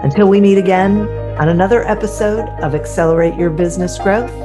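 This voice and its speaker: A woman's voice: mid-range voice, brisk, over music. A woman hosting a business podcast, asking rambling questions in a friendly way and reading a scripted outro. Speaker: podcast host.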